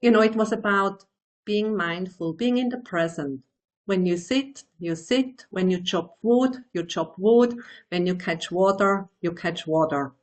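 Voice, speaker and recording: A woman in her sixties from Switzerland, a meditation teacher answering interview questions in a thoughtful way, pausing to find her words. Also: medium pitch. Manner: slow, thoughtful, searching for words.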